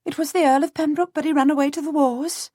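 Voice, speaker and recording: Woman doing a high chirpy goose girl, rustic and chatty, storybook style. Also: steady tone, fast talker, warm tone, no room sound, clean studio sound, natural voice. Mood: eager. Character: young goose girl with a strong rural accent. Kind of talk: audiobook character voice.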